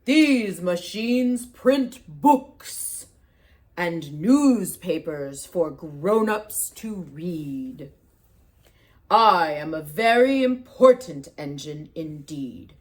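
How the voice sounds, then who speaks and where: A woman voicing a proud, haughty big male freight engine in a storybook read-aloud, talking in a pompous, drawn-out way. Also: on the low side, animated, darkish tone, voice pushed down. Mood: boastful.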